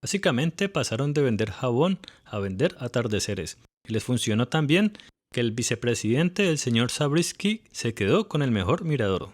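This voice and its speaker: Lively scripted man narrating a travel voice-over. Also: mid-range voice, moderate pace. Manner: polished, lightly witty narration.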